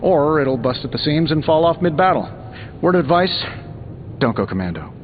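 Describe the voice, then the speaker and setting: A cocky sarcastic armored hero inventor, game dialogue, kinda dull audio w loud music under. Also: male voice, a little high, big pitch swings. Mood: breezy, wry. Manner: glib, sarcastic, quick-witted. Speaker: video game voice actor. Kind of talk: video game dialogue acting.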